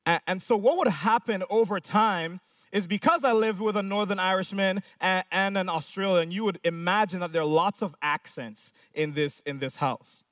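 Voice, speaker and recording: High-voiced man preaching with emphatic repetition. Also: moderate pace, a bit bright, no room sound, studio quality, recorded from a distance. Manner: emphatic, repeats key phrases, asks rhetorical questions. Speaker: pastor. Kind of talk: sermon.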